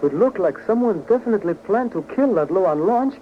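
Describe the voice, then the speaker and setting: An actor playing a loyal, serious aide in 1940s radio drama, speaking clipped foreign English without articles. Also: male voice, high-pitched, expressive, fairly quick. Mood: grave. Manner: clipped phrasing that drops articles. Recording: tinny, hissy old radio recording.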